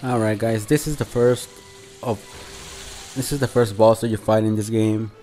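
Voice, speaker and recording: A man giving casual, unscripted gameplay commentary as a channel host, talking in a halting, conversational way. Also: on the low side, fairly even intonation, warm tone, soft background music. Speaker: video game review channel host.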